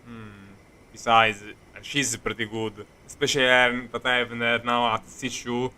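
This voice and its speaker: A man casually commenting on a live game stream, halting and jokey, quick to react to what happens. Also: medium pitch, level tone, sharp tone, slight background noise.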